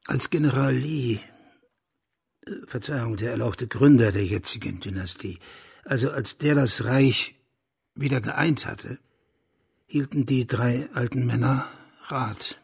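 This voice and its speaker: A burly, broad-shouldered hunter in a radio drama. His voice is very husky and rough, crackling with gravel. He rambles on in an earthy, blunt way, like a talkative country gentleman. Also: medium pitch, unhurried, exaggerated voice. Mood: garrulous, nostalgic. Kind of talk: German radio drama acting.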